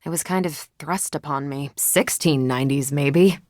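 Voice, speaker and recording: Game superheroine, played by a woman: American, confident military-minded space pilot, wry and conversational, lower register, very dry studio sound. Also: normal speed, darkish tone, no room sound, lightly acted. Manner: wry, self-assured, conversational. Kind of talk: video game voice lines.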